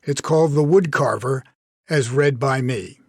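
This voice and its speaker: A man's voice: medium pitch, measured pace, darkish tone, no echo, clean studio sound. A man reading his own short story as scripted narration.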